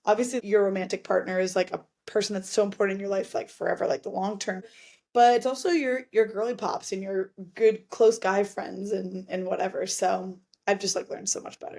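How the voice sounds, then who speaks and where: A woman's voice: mid-range voice, a little mellow. A young adult woman chatting casually as a podcast guest, earnest and rambling, with slang and lots of "like". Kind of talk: casual chat.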